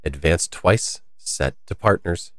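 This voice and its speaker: A man voicing the Mock Turtle, a melancholy old sea creature who speaks slowly and sadly. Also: low voice, dry recording, studio quality, pitched below the performer's own voice. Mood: solemn. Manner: slow, sad, sometimes choked with sobs.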